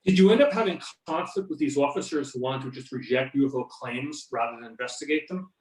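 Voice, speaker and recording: A man's voice: medium pitch, quick pace, natural voice. A man playing an attentive, probing interviewer in an amateur readers theater held over a conference call. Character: attentive male interviewer questioning a UFO researcher. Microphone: videoconference or conference call. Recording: roomy, echoing videoconference audio.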